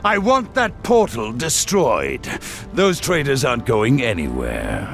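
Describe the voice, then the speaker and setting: A hateful, gruff army commander in a fantasy game. His delivery is domineering and slow, with extremely animated swings of pitch over faint music. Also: full character voice. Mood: angry commanding. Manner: gruff, domineering, barking orders. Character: blustering, hateful human military commander. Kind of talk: dramatic fantasy game acting.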